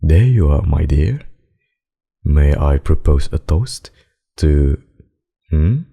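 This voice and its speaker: A man voicing a suave, possessive, menacing vampire in an ASMR roleplay, with formal, courtly, seductive speech and a gravelly, husky voice. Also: extremely low pitch, expressive, takes it slowly, mellow, exaggerated voice. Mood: teasing, menacing. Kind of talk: ASMR roleplay, dramatic monologue.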